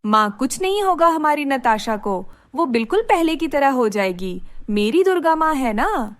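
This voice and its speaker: A woman voicing a devout, gentle young girl in a cartoon story, earnest and pleading. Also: sits high, big pitch swings, normal speed, no room sound, studio quality.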